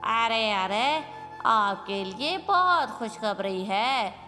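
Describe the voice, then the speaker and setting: Woman as a slow-speaking cartoon bird doctor. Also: on the high side, animated, sharp tone, full character voice. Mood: announcing. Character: bird doctor, firm yet announcing news politely. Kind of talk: Hindi cartoon moral-story voice acting. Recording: long reverb with music behind.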